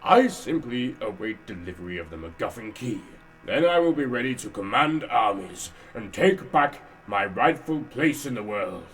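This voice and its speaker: A man's voice: medium pitch, animated, normal speed, a bit bright, far from the mic, faint music behind, lightly acted. A man playing a pompous, lecherous old would-be conqueror in an audio drama, grandiose and blustering.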